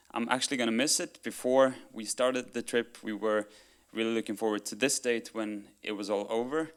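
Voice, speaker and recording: A young man presenting research to an audience in a relaxed, friendly way with hesitant fillers. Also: on the low side. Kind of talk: public presentation.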